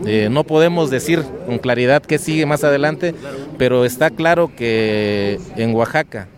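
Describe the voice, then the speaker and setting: A man with a Mexican accent, a teacher giving an earnest interview at a street protest, speaking with many "eh" fillers. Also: mid-range voice. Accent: Mexican Spanish. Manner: earnest, measured, with frequent filler "eh" and "pues".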